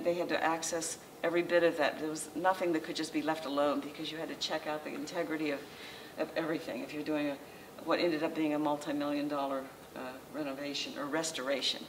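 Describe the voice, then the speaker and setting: An older woman giving an informal slideshow lecture in a library meeting room, talking in a conversational, halting way with frequent restarts. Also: low-pitched, little pitch movement. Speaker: local historical society president giving a lecture. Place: library meeting room, indoors, small audience. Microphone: far camera, masked speaker. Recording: distant camera mic with faint room noise.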